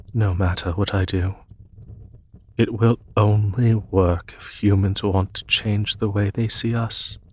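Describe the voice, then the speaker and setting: Male actor as demon emperor, deep voice, unhurried pace, solemn, measured, formal, noble pacifist, fantasy roleplay. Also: darkish tone. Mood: solemn, resolute. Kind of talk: fantasy audio roleplay, spoken softly to one listener.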